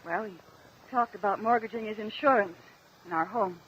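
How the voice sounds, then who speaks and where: A woman acting as a polite American widow testifying in an old radio courtroom drama. She speaks in a medium-pitched voice, halting and deferential. The sound is dull and lo-fi. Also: a little hiss or hum, natural voice. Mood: subdued, earnest. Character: polite, hesitant widowed wife testifying on the witness stand.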